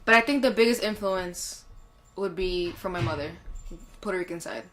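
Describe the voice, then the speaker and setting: A woman's voice: fairly low pitch, normal speed, a bit bright, slight background noise, low-quality recording. A young woman thoughtfully answering interview questions in a plainspoken way.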